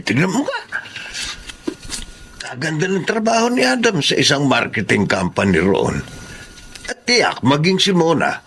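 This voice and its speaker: An elderly grandfather with a Filipino accent. His mid-pitched voice is animated and hearty, proud and reassuring, in the expressive style of radio drama. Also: a little hiss or hum.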